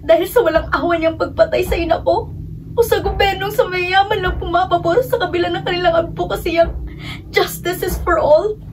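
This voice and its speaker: A woman's voice: very high-pitched, fairly even intonation, brisk, a little mellow, recorded from a distance, a little hiss or hum, poor audio. A woman with a Filipino accent playing a grieving, bitter student daughter in an amateur radio drama. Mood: bitter, angry.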